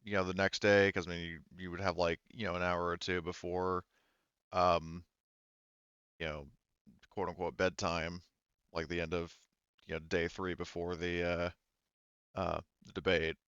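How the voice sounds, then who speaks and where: A man casually running a tabletop roleplaying game as game master, halting and thinking aloud as he goes. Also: sits low, flat delivery, a bit bright, clear, resonant tone, no echo, dull audio.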